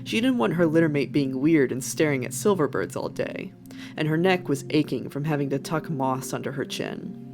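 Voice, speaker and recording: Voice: medium pitch, expressive, normal speed. A narrator reading an animal adventure story as scripted narration. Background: faint background music.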